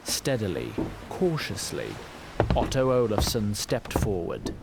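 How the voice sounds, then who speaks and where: An actor playing a fussy wood sprite who narrates an audio fantasy drama in formal, wordy storybook style. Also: male voice, lowish voice, wildly swooping pitch, unhurried, vocal fry, very noisy, heavily acted. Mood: dramatic. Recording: loud ship ambience behind.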